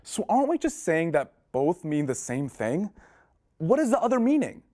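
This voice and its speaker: A woman's voice: fairly low pitch, sing-song, normal speed, mellow. A woman playing a flippant, puzzled student in a scripted instructional play. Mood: puzzled, protesting. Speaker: readers theater performer. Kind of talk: scripted instructional stage dialogue.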